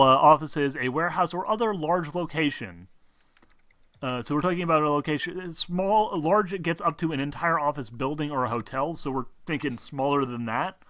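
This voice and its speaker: A man with a bright, forward voice in a dry, close recording runs a tabletop role-playing game. He explains things in halting bursts and slips into playful mock-scolding. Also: fairly high pitch, no room sound. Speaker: game master. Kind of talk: casual banter running a tabletop role-playing game stream.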